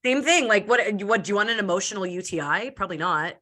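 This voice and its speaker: A woman from Canada, a podcast guest, talking in a loud, deep voice with lots of filler "likes" and quick restarts. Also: lively intonation. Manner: loud and deep voice by her own account. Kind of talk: casual interview chat.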